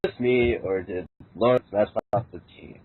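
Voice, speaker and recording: A man's voice: lowish voice, normal speed, a little hiss or hum. A man taking part in an amateur script reading, chatting casually between his lines. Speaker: voice reader in a group fan-fiction reading. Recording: muffled tinny voice call.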